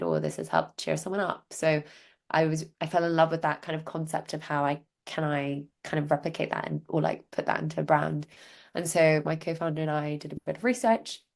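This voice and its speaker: A young woman from Hong Kong talks through her business story as a podcast guest. Her voice sits low with a gravelly edge. She rambles, restarts and leans on filler words. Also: darkish tone. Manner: chatty, many "like" fillers, loose restarts. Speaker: guest, social enterprise founder. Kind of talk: casual interview storytelling.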